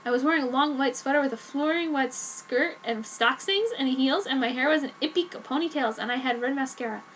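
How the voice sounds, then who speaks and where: A woman's voice: high-pitched, a little fast, fairly bright tone. A woman giving a dramatic reading of a fan fiction story. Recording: noticeable background noise.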